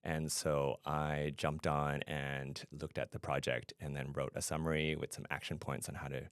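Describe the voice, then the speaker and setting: A man's voice: extremely low pitch, level tone, normal speed, smooth, no room sound, clean studio sound. A middle-aged man from Canada speaking conversationally as a guest in an interview. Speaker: podcast guest, fintech product adviser and founder.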